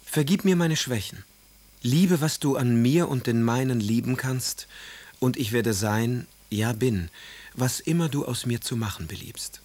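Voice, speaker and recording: A moody aristocratic poet in a radio drama. His voice is husky and rough-edged. He delivers literary letters with wry, sardonic eloquence, as a doubting bridegroom. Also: medium pitch, unhurried, darkish tone, no echo. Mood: tender, courteous. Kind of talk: dramatised reading of letters, German radio play.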